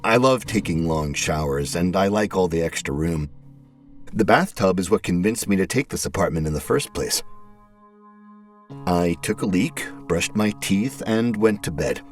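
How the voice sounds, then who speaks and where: A man narrating a scripted first-person story in a brooding, terse, deliberate manner. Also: low-pitched, lively intonation. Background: background music.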